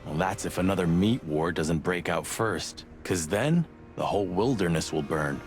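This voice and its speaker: Sarcastic chicken cop partner, kinda low voice, noir game, music behind him. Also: male voice, expressive, moderate pace. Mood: grim, bitter. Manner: sardonic, streetwise. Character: wisecracking male rooster cop sidekick, needling but loyal. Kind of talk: film noir game voice acting.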